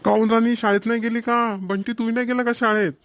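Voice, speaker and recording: A man's voice: extremely high pitch, level tone, fast, a bit bright, no room sound. A man playing a broke village father, scolding yet coaxing, in a cartoon comedy with a Vidarbha Marathi accent.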